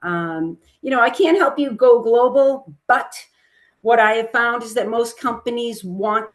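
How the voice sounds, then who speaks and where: A woman's voice: on the high side, unhurried. A woman whose first language is Italian, giving a chatty, upbeat webinar presentation on a video call. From United States. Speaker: webinar presenter, trade expert. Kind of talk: casual presentation.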